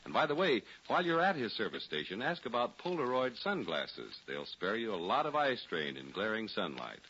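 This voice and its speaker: Low-voiced, animated 1940s radio announcer man. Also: moderate pace, slight background noise. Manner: polished, emphatic salesman delivery. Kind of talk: scripted 1940s radio narration and advertising. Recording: dull 1940s radio recording with music.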